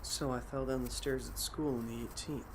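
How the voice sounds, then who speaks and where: A man's voice: mid-range voice, steady tone, normal speed, background noise, natural voice. A man in an anime fan dub playing a weary, puzzled teenage schoolboy with a dry, terse, sardonic delivery. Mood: confused.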